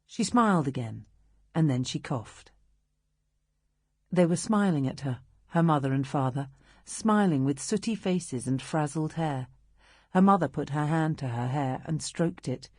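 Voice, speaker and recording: A British woman reading literary narration as an audiobook narrator, in a measured, expressive storytelling manner. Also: deep voice, breathy edge, no echo, clean studio sound.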